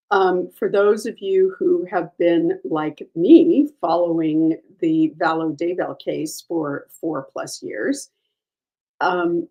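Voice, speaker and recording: Hoarse woman with a gravelly voice. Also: fairly low pitch, mellow, low-quality recording. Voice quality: gravelly, froggy voice. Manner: careful, with many ums and uhs. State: hoarse, recovering from a cold. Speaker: true crime show host. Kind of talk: casual case update.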